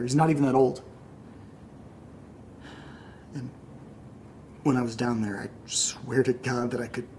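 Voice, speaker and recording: A man's voice: medium pitch, darkish tone, slight background noise, lightly acted. A man acting an anxious, mopey, sardonic young man in a naturalistic audio drama, speaking hesitantly.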